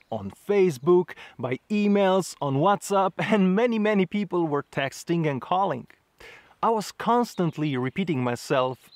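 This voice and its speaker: Man, 30s, travel vlogger speaking to camera outdoors; earnest, measured delivery, slightly raised pitch, dry close sound. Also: no echo. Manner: earnest, measured, slightly non-native phrasing. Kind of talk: direct-to-camera explainer. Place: outdoors in front of trees.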